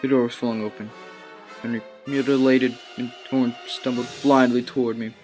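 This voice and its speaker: A man's voice: mid-range voice, little pitch movement, moderate pace, darkish tone, faint music behind, close to an everyday voice. An actor playing a tormented, guilt-ridden man of science, fervent and anguished in old-time radio drama style. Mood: tense narrating. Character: tormented, guilt-ridden male scientist haunted by his creation.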